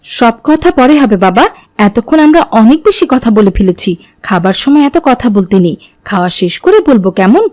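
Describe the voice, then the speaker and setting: Cartoon mother, acted by a woman: kindly, teaching, expressive melody, close dry recording. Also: on the high side, moderate pace, no echo. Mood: gently instructive. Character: gentle, instructive mother and aunt. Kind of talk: didactic cartoon dialogue.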